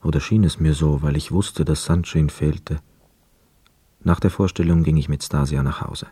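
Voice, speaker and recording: Deep, mellow, sober narrator. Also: male voice, steady tone, moderate pace, slightly rough, no echo. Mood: quiet, reflective. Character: sober, observant first-person narrator, hotel guest. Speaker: narrator and actor. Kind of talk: literary radio drama narration.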